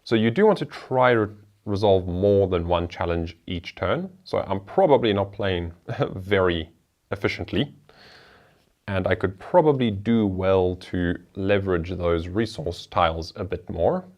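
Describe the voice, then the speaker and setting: A man's voice: low-pitched, animated, measured pace, a little mellow, lo-fi sound. A man hosting a board game show, talking through the rules and each move of a solo playthrough in a chatty way.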